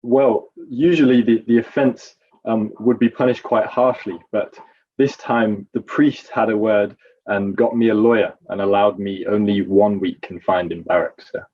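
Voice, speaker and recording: A man's voice: fairly low pitch, fairly even intonation, normal speed, breathy edge, muffled recording. A man playing a nervous, evasive Roman guard corporal testifying in a courtroom drama, hesitant and deferential. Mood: evasive, uneasy.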